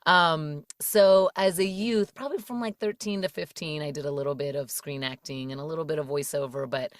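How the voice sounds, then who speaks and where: Low-voiced woman, chatty guest. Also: fairly even intonation, fairly quick, no room sound, clean studio sound. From Washington DC area. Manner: chatty, laughs often, many fillers. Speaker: podcast guest, voice actor. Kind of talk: casual interview chat.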